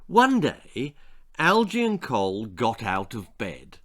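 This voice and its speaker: An English man narrates a children's storybook with theatrical relish. His voice is bright and forceful, sweeping widely up and down in mock-jolly style. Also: mid-range voice, wildly swooping pitch, slow. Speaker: author reading his own story.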